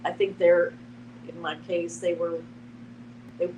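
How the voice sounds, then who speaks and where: A woman with a slightly low voice shares thoughts haltingly in a casual discussion. She is somewhat off the microphone, and the recording has clear noise and hum. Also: little pitch movement, highs cut off. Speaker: podcast panelist. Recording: noisy, distant microphone, background hum.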